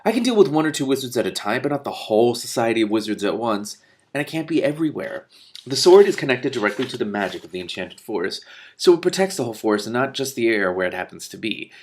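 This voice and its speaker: A man reading the part of a calm, wry king and father in a storybook. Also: medium pitch, quick pace, a little hiss or hum, close to an everyday voice. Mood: explanatory, wry.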